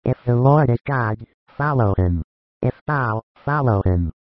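Man acting kindly holy master, medium male pitch, measured, solemn, audiobook drama, close dry sound. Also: expressive, no room sound, lo-fi sound, natural voice.

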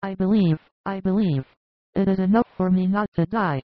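A man voicing a frail, sick old woman in a storybook reading, her voice pushed high and fretful. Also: normal speed, dry recording, lightly acted. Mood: doubtful. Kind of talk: audiobook character voice. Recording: dry, tinny phone-like sound.

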